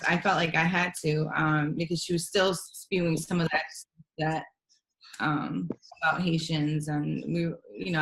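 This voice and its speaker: Low-voiced woman, chatty but hesitant, lots of ums. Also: fairly bright tone, low-quality recording. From Dominican and Puerto Rican family. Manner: conversational, hesitant, many fillers. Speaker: panel member. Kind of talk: casual video-call discussion.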